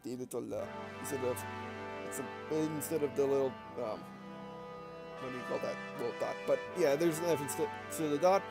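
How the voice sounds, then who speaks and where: A man giving casual gameplay commentary, halting and often stumbling over and repeating his phrases. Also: medium pitch, gravelly, music in the background. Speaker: gaming video host. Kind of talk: casual gameplay commentary with read-aloud dialogue.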